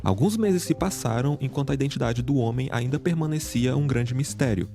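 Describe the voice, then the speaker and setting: A man giving a scripted, measured true-crime narration. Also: medium pitch, gravelly, mellow. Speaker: narrator of the reacted video. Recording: music bed under narration. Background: background music.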